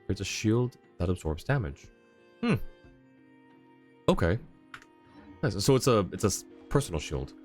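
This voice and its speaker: Male game streamer, very low voice, casual and conversational, faint music underneath. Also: big pitch swings, measured pace, pauses often, darkish tone. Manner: conversational, reading chat comments aloud. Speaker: game livestreamer. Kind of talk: casual livestream chat, answering viewers.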